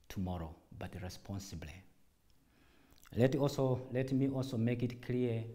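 A man speaking as a church leader in a formal public address, deliberate and emphatic, in short measured phrases. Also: lowish voice, mellow. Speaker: church director and apostle giving a public address.

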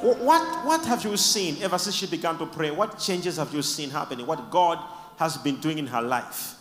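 A man leading a church service, preaching emphatically and shouting blessings between quieter questions to the people testifying. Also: on the high side, lively intonation, moderate pace, in a large hall, music in the background.

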